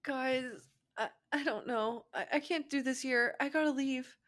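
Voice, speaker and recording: A woman puts on a heartbroken teenage girl in a fan fiction story. She speaks in a raised, halting voice. Her words come out whimpering and tearful. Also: high-pitched, a bit bright, voice pushed up. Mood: tearful, distraught.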